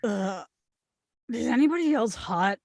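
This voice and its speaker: A woman's voice: on the high side, animated, measured pace, gravelly, a little mellow, dry recording, clean studio sound. A woman playing a blunt, brash, queasy bridesmaid with urgent delivery in a comedy table read.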